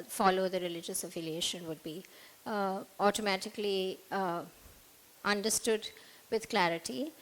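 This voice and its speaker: A woman's voice: mid-range voice, fairly bright tone, poor audio. A middle-aged woman, a school counselor, presenting at a conference podium and working methodically through a list with many fillers. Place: hotel conference room, at a podium. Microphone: podium microphone.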